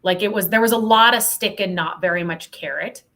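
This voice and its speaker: A woman's voice: medium pitch, fairly quick, fairly bright tone. A woman speaking as a podcast guest, telling her own story in a frank, reflective, conversational way and often saying "like". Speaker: podcast guest, sales coach.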